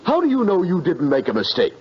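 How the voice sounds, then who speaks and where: A man's voice: high voice, wildly swooping pitch, fast. An American man acting an officious, suspicious, condescending store adjustment clerk who lectures in a 1950s radio comedy. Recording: muffled, noisy vintage radio recording.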